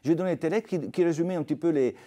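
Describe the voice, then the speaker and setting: Italian-accented older man, mellow voice. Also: on the high side, very fast. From Italy. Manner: hesitant, many fillers and restarts. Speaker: restaurateur guest. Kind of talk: TV interview, anecdotal.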